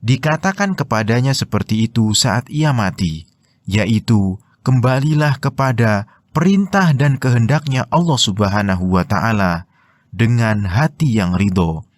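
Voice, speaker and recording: Male Indonesian narrator for religious explainer, mid-pitched, slow and measured delivery. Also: lively intonation, no room sound. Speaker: narrator of Quran translation and commentary.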